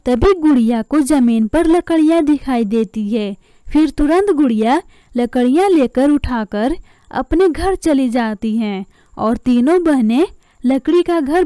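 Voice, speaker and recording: Woman narrator, mellow tone, unhurried pace, dry sound, scripted storytelling. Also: sits high, no room sound.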